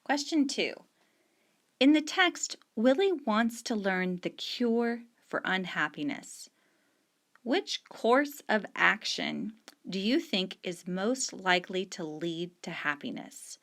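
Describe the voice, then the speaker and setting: A middle-aged woman speaks as a teacher walking students through reading questions. She talks in an unhurried, deliberate way, leaning on key words and repeating each question while she marks up the page with a pen. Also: medium pitch, big pitch swings, a bit bright. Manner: annotating on screen with a pen while talking. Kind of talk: explaining discussion questions to students.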